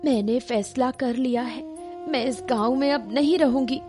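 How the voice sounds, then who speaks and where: A woman's voice: high voice, moderate pace, over music, pitched above the performer's own voice, natural voice. A woman voicing a hurt, determined daughter in an emotional cartoon story, with a reproachful and resolute manner. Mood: resolute, sad. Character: hurt, determined grown daughter leaving her village home.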